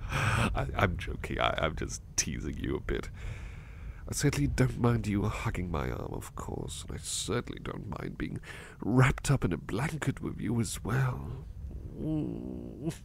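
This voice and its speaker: A man playing a gentle, anxious, sleepy male servant in a soft ASMR roleplay, speaking hesitantly and repeating his words. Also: lowish voice, big pitch swings, a little slow, gravelly, a little hiss or hum, exaggerated voice. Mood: tender, teasing. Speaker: roleplay voice performer.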